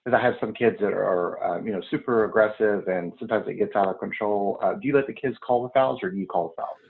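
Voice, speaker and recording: A man with a fairly low voice asks a coach questions over a call. He sounds hesitant and keeps restarting his sentences. His audio is thin and tinny, like a phone line. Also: creaky. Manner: hesitant, restarts often, quick acknowledgments. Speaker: caller, youth basketball coach. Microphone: remote call.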